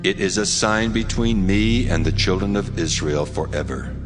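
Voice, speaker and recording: A man's voice: low-pitched, moderate pace, close to an everyday voice. A man playing God as a solemn, commanding divine voice in a dramatized scripture reading, speaking in declamatory style. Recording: long hall reverb, music underneath. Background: music.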